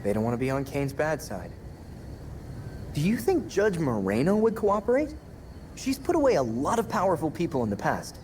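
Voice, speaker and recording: A man playing a confident, wry young masked vigilante in a video game cutscene, over loud music. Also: on the high side, very animated, normal speed, slight background noise. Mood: wry, focused.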